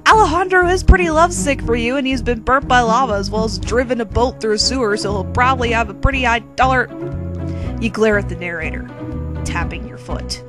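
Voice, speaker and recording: A woman's voice: fairly high pitch, a little fast. A woman reading a comic second-person story theatrically, with sassy, bossy asides to the listener. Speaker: narrator reading own humorous fan fiction. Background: quirky music bed.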